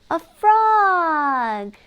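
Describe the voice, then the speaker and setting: A woman reading a picture book aloud to young children in an expressive storytelling manner, with exaggerated wonder for small listeners. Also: extremely high pitch, drawn-out words, lo-fi sound.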